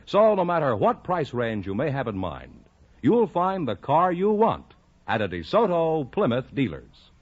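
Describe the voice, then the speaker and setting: A man's voice: fairly high pitch, wildly swooping pitch, a little slow, noisy background. An American man reading a scripted 1950s radio car commercial with emphatic salesmanship. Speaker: commercial announcer. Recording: dull vintage radio recording.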